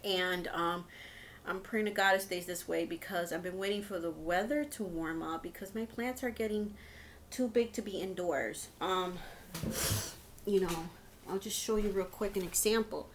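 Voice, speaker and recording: A woman's voice: fairly low pitch, normal speed, slight background noise. A woman in her fifties chatting casually to her viewers as a video host, in a rambling, hesitant, informal way. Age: 50s. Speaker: video host of a home and shopping channel.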